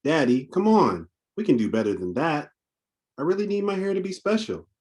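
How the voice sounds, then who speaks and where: A man's voice: a little high, sing-song, normal speed, a little mellow, voice pushed up. Man reading as a plucky, insistent little girl. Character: excited, determined little girl with big hair.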